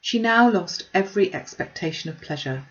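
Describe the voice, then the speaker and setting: A woman reading a classic novel aloud as an audiobook narrator, in an expressive storytelling style. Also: lowish voice, moderate pace, highs cut off. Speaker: audiobook reader.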